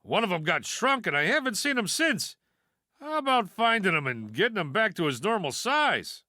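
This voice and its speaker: A man's voice: sits high, very animated, normal speed, bright, forceful tone, no echo, clean studio sound, exaggerated voice. A man voicing a wisecracking toy piggy bank in a video game, a brash know-it-all with a sardonic, folksy delivery. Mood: explanatory, wisecracking. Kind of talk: video game character voice lines.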